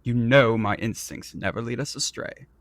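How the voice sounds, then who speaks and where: An actor playing an arrogant, vain and petulant prince in a fantasy audio roleplay, speaking in a pompous, theatrical and condescending manner. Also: male voice, medium pitch, moderate pace, fairly bright tone, no room sound, lightly acted. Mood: petulant, indignant. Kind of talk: scripted ASMR roleplay audio drama.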